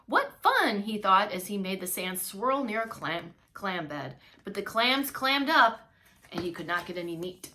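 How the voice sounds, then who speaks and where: A woman reading a story aloud to young children as a preschool teacher, expressive, with playful asides. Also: medium pitch, moderate pace, a little hiss or hum. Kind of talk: animated read-along children's storytelling.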